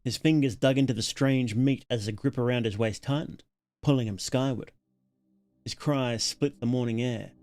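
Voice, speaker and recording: A man narrating a horror story as a livestreamer, dramatic and solemn, in deliberate, weighted phrases. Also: medium pitch, a little mellow.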